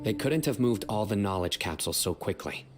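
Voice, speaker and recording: A man playing a calm, stern, resolute investigator in a video game, speaking in a measured, assured way. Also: on the low side, loud music behind the voice, close to an everyday voice. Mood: calm, analytical. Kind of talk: video game character voice acting.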